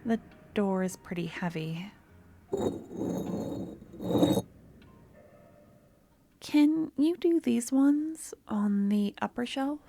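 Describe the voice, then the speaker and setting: Woman acting as obsessive yandere girl, very slow delivery, high soft voice, whispery, sweet but menacing, ASMR roleplay. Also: lively intonation, background noise. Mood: shy, embarrassed. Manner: soft, breathy, often dropping to a whisper.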